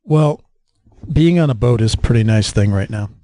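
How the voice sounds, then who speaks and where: A man's voice: medium pitch, lively intonation, normal speed, warm tone, dry recording, clean studio sound. An American man hosting a casual interview in a conversational style. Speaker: interviewer, podcast host.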